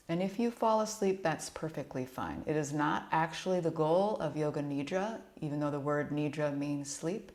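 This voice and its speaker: Low-pitched woman guiding a relaxation meditation. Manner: soothing, gentle, unhurried instruction. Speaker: yoga nidra instructor.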